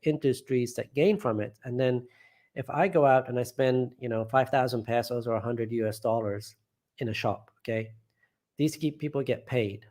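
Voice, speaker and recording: A man's voice: medium pitch, normal speed, darkish tone. Rambling man hosting a live chat. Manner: rambling, often trailing off and restarting. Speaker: host of a live stream. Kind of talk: casual live chat, reading viewer comments aloud.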